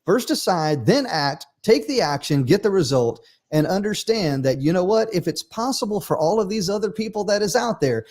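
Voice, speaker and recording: A man's voice: high-pitched, sing-song, moderate pace, hardly any pauses, a little mellow. A man hosting a livestream talk show, speaking earnestly and emphatically, often repeating himself for effect. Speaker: host.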